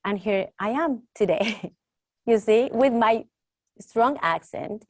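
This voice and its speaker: A woman talk show host with a strong Latin American Spanish accent, speaking deliberately and with emphasis. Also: a little high, dry recording, studio quality.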